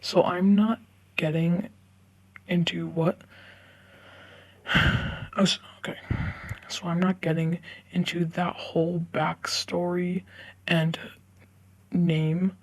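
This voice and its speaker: A woman reading online forum stories aloud in a halting way, often stumbling over the words. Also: on the low side, flat delivery. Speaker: narrator reading online stories for a video channel.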